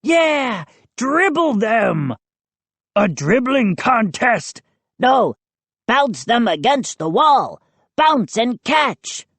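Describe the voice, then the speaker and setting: A woman's voice: on the high side, drawn-out words, gravelly, slightly rough, no room sound, voice pushed up, exaggerated voice. A woman voicing a small, mischievous male gnome, gleeful and taunting, in a storybook reading. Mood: eager, taunting. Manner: chanting, excitable, taunting.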